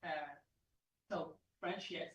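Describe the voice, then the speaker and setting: A man from Morocco, a student introducing himself in a halting way and searching for words. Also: a bit bright. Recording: dull roomy sound from far off the mic.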